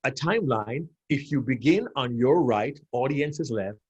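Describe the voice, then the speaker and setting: A man's voice: mid-range voice, expressive, moderate pace, darkish tone, slightly rough, highs cut off. A man in his fifties from Jamaica, coaching on public speaking as a podcast guest, emphatic and given to repeating his key phrases. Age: 50s. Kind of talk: animated coaching explanation.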